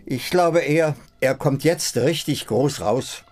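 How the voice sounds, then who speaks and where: An actor playing an elderly husband in a German radio drama, calm, resigned and tender, speaking in short plain sentences. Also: male voice, on the high side, takes it slowly. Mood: matter-of-fact. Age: older. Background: music.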